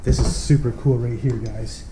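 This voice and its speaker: A man's voice: mid-range voice, fairly even intonation, moderate pace, heavy background noise. A young man with a stuffy cold, narrating a casual walkthrough of an empty abandoned mansion and chatting to viewers as he goes. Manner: upbeat, keeps addressing viewers as guys. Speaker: urban explorer vlog host.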